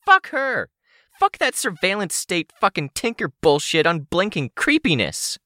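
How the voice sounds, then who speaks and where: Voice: mid-range voice, sing-song, moderate pace, sharp tone, dry recording, studio quality, pitched above the performer's own voice. A narrator voicing a furious, taunting young female supervillain with a shrieking, sneering, profane delivery.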